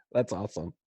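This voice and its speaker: A man hosting a podcast in an upbeat, effusive way, introducing and chatting with his guest. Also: a bit bright. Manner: enthusiastic, effusive. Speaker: podcast host.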